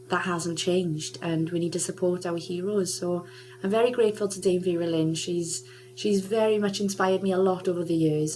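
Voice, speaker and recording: A woman's voice: on the low side, a little hiss or hum, low-quality recording. A young adult woman guest on a radio interview, chatting with lots of laughter and filler "um"s. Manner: chatty, warm-hearted, laughs often, many "um"s. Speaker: guest singer.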